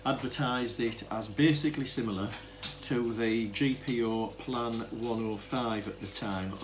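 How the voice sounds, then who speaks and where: A man's voice: mid-range voice, measured pace. A man gives an informal, unscripted demonstration, explaining things in a hesitant, rambling way and often interrupting himself. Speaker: hobbyist demonstrator of vintage telephone equipment. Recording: clearly noisy amateur camera recording.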